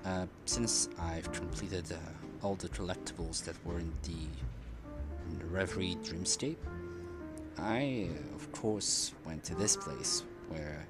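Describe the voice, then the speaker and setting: A man giving casual, rambling game commentary as a streamer, with a hesitant, halting delivery. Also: low voice. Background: loud game music.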